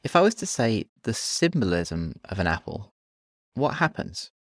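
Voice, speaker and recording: Calm low-voiced male host. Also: no echo, studio quality. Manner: calm, guiding, slow listing of prompts. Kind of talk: guiding imaginative exercises in conversation.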